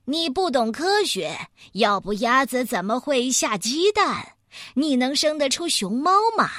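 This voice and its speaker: A woman's voice: fairly high pitch, very animated, slow, fairly bright tone, slightly rough, no room sound, clean studio sound, full character voice. A woman voicing a pompous, hot-tempered male mole scientist who declaims with self-important indignation. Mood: indignant.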